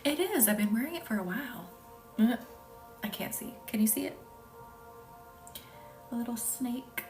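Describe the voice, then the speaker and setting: A woman from the American South hosting a live reiki session, talking softly and often in a half-whisper, hesitant and full of fillers as she answers viewers' comments. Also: a little high, animated, normal speed. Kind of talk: live-stream energy healing with viewer chat. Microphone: phone live stream. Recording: distant mic with music behind.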